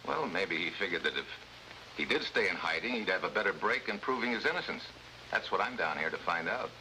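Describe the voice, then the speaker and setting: An actor playing a wry, tough American special agent in a 1950s spy drama, with an American accent and sardonic delivery. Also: male voice, deep voice, animated, brisk, pushed and bright, heavily acted. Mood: businesslike. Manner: wisecracking, sardonic, hard-boiled. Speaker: actor in the lead role. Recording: noisy vintage 1950s broadcast recording.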